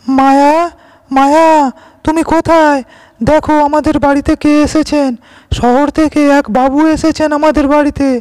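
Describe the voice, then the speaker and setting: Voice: high-pitched, flat delivery, normal speed, no echo, lightly acted. An actor voicing a humble, earnest poor village labourer in a cartoon moral story, speaking respectfully. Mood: excited. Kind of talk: Bengali animated moral story dialogue. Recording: loud music under the voice.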